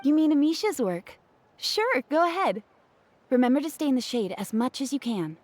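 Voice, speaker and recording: A woman's voice: sits high, very animated, moderate pace, darkish tone. A woman playing a polite, obliging, slightly anxious craftswoman in a video game, speaking in an apologetic, explanatory way. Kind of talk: video game character acting. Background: background music.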